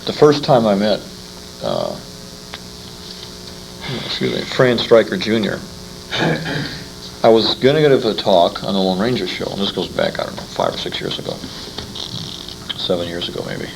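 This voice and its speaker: Low voiced guy interviewing, croaky, halting and repeats himself, bit of hiss on an amateur tape. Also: huge pitch swings. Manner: rambling, halting, repeats words. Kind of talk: informal interview chat. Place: old-time radio convention. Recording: faintly noisy amateur convention tape.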